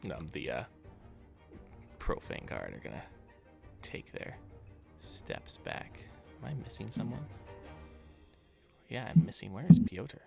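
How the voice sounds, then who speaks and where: A man's voice: lowish voice, wildly swooping pitch, takes it slowly, gravelly, pauses often, mellow, faint music behind. A man narrating as game master, loosely describing scenes with many fillers.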